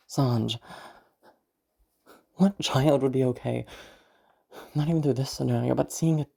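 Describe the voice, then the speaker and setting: A woman voicing a concerned, sober police officer with a husky voice in an audio roleplay. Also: a bass voice, mellow, heavily acted.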